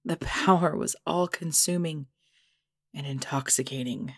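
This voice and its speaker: A woman playing a proud, menacing supernatural mother in an audio drama, speaking in a formal, measured, deliberate way. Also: sits low, husky, no echo, studio quality, exaggerated voice. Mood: brooding, intense.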